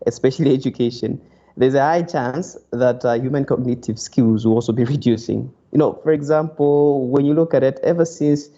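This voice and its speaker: A man's voice: mid-range voice, brisk, dark tone. A man giving a casual, conversational answer as a panelist, peppered with filler phrases. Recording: dull lo-fi audio.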